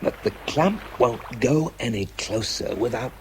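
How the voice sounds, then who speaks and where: An actor with a British accent playing a tense, self-doubting wartime bomb disposal man in a radio drama, shifting between clipped reports and quiet confession. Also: medium pitch, lively intonation, measured pace, a little hiss or hum, lightly acted. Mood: tense, concentrated. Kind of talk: radio drama acting, first-person narration and spoken report.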